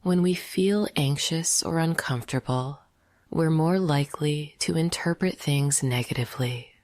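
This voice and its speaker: A woman leading a guided meditation in a soft, half-whispered, gentle and soothing voice. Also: low-pitched, a little slow, dry recording, studio quality.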